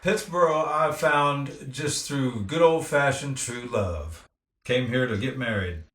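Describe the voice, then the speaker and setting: A man's voice: medium pitch, slow, a bit bright. A man speaking as a musician guest in a casual interview chat. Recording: roomy echo, faint crowd behind.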